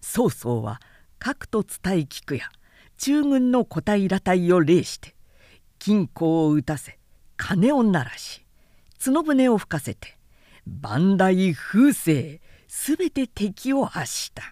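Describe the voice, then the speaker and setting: Female narrator for literary recitation: deliberate pace, wide melodic range, theatrical, close dry sound. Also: mid-range voice, sing-song, mellow, no echo. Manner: measured, theatrical storytelling. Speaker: narrator reading literature aloud. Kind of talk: dramatic scripted literary narration.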